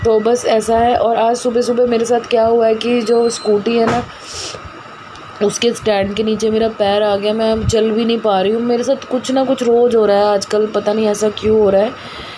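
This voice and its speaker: Hoarse woman in her thirties, rambling housewife vlogger, steady narrow pitch on the high side, phone mic in a kitchen with a noisy motor behind. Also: normal speed. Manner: rambling, chatty, lots of fillers. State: hoarse with a cold and cough. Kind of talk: casual daily vlog chat. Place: home kitchen, indoors. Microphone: phone camera, selfie then propped up across the room. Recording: noisy phone recording, pump motor running.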